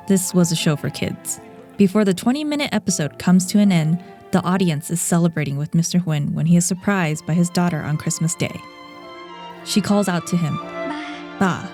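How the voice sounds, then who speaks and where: A woman hosting a podcast, giving a measured, reflective scripted narration. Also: lowish voice, dark tone, faint music behind. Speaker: podcast host.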